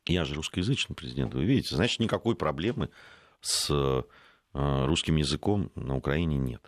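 Deep-voiced argumentative man, dry studio. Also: expressive, moderate pace, no room sound. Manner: argumentative, rising to stress points. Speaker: political talk show co-host. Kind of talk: political studio discussion.

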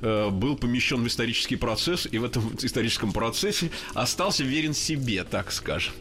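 A man's voice: medium pitch, expressive, a little fast, a bit bright. A man co-hosting a radio interview who chimes in with questions and introduces the guest. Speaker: radio co-host.

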